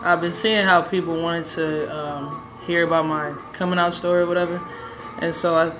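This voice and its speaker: A young woman with a raspy, hoarse voice telling a personal story in a relaxed, rambling way with many fillers. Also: on the low side, moderate pace, music in the background, poor audio. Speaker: vlogger. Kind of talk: casual personal storytelling to camera.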